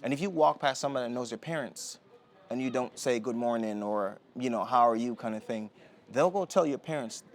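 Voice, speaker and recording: A young man from Jamaica talking as a podcast guest, relaxed and rambling with many fillers and restarts. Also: mid-range voice, brisk. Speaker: guest, Olympic sprinter. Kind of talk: casual interview talk. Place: indoor podcast setting.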